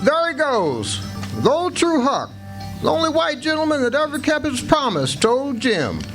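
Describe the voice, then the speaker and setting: An actor playing a kindly runaway slave in a radio play, speaking an exclamatory folksy dialect. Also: male voice, extremely high pitch, huge pitch swings, normal speed, exaggerated voice. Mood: tender, grateful. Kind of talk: old-time radio play acting. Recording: live stage performance with music behind.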